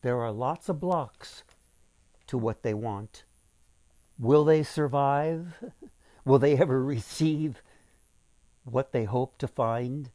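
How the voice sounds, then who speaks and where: A man reading a folktale aloud as its author, with deliberate, dramatic phrasing for young listeners. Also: mid-range voice, warm tone, no echo.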